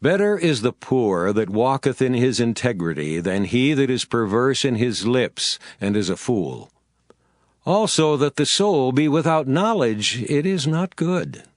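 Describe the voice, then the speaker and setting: A man reads scripture very slowly and deliberately, with lively rises and falls in pitch. Soft music sits faintly in the background. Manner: slow, deliberate recitation. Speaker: scripture reader. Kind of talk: dramatized scripture reading.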